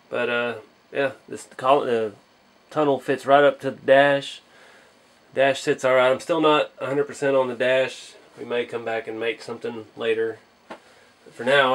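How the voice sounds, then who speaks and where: A man talks through a hands-on hobby project in a casual vlog style. He sounds unassuming and a little hesitant, working out his thoughts aloud as he goes. His pitch sits in an ordinary middle range for a man. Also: steady tone, a little slow, a bit bright. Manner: modest, hesitant, thinking aloud. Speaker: hobby channel host.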